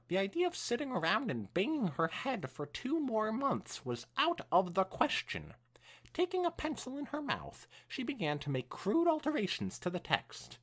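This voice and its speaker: A woman co-hosting a podcast, reading fan fiction aloud in an expressive, swooping storytelling style with casual asides. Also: a little high, husky.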